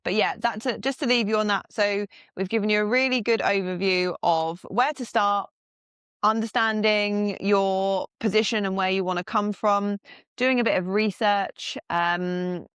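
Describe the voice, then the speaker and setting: A woman's voice: mid-range voice, unhurried, a bit bright, clear, resonant tone, no echo. A woman co-hosting a business podcast, speaking frankly and upbeat, with casual swearing. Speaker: podcast co-host, fitness business owner.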